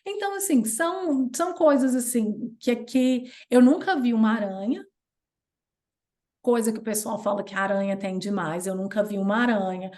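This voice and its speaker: Chatty Brazilian woman, bright higher voice. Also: moderate pace. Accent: Brazilian Portuguese. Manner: chatty and animated, playful jumps in pitch when retelling. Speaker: interview guest. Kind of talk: casual storytelling chat.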